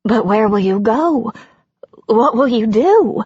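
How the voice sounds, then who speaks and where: A woman's voice: on the high side, expressive, slow, a little mellow, breathy edge, no room sound, dull audio, voice pushed up, full character voice. A woman voicing an anxious new-age wife who pleads with her husband, stunned and shaken. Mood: pleading.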